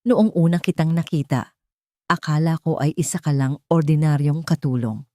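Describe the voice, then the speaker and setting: A woman's voice: low-pitched, moderate pace, dark tone, dry recording, clean studio sound, close to an everyday voice. A woman with a Filipino accent voicing a devoted, earnest billionaire husband and father in an audiobook romance. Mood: fond reminiscing.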